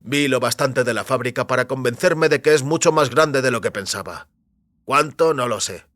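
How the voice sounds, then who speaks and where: Un actor interpreta a un extécnico fugitivo, decidido y calculador, en una audioficción, con habla segura y pausada. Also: male voice, mid-range voice, fairly bright tone, no echo, close to an everyday voice. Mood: determined. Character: Jennings, determined scheming former technician on the run. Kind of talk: science fiction audio drama dialogue.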